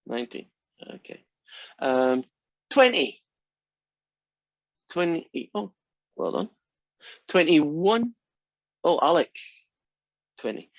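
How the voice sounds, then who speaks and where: A math teacher in his sixties talks a class through the lesson over a video call, checking in with "right?" as he goes. His voice sits a touch higher than most men's. The call audio is dry and a bit dull, with a lo-fi feel. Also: very animated, slow, gravelly, long pauses, no room sound. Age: 60s. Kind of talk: online class lecture. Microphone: computer mic on a video call.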